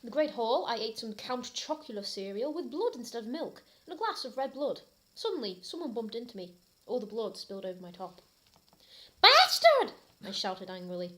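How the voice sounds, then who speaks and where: A woman with a British accent reads a story aloud in a theatrical, comic style. Her voice is fairly high and very animated, swinging widely in pitch. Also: brisk. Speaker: host and reader. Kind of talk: comic dramatic reading of fan fiction.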